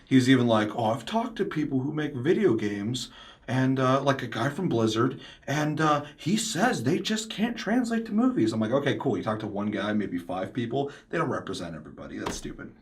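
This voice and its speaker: A man's voice: mid-range voice, big pitch swings, brisk. A man hosting a casual panel discussion, ranting emphatically with heavy swearing and slipping into mimicked quotes of other people. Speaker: podcast host.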